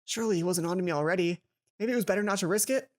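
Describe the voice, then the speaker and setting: A woman reading a visual novel aloud for a let's play, expressive, with casual asides of her own. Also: lowish voice, racing. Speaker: let's player.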